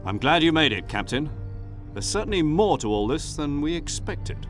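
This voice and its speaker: An actor playing a brave, composed wartime agent and pilot, with an understated manner, in a video game cutscene. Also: male voice, a little high, very animated, moderate pace, soft background music. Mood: dry, composed.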